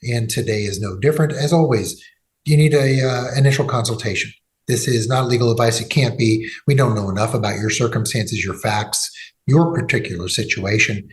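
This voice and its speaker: A man's voice: mid-range voice, normal speed. A man hosting a legal podcast, talking in a loose conversational way with fillers and restarts. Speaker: podcast host and law firm executive.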